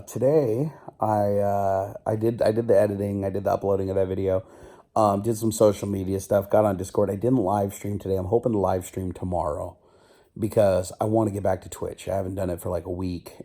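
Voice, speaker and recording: A middle-aged man vlogging casually, his voice still slightly rough and stuffy from a cold, rambling and joking at himself. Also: on the low side. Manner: rambling, self-mocking, loud. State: stuffy nose. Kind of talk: casual video diary.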